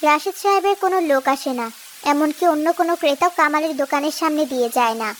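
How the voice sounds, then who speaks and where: A woman's voice: high voice, animated, fast talker, fairly bright tone, slight background noise. A woman giving scripted narration for a village cartoon story.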